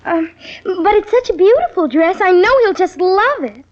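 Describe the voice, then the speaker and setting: An actress with an American accent playing a pleading, melodramatic teenage daughter in an old-time radio family comedy. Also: female voice, extremely high pitch, lively intonation, moderate pace. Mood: coaxing. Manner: pleading, dramatic, indignant. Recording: muffled old radio broadcast recording.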